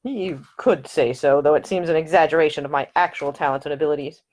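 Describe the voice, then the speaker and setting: A woman voicing a charming young gentleman who talks in a playful, gallant, gently teasing way. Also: low-pitched, quick pace.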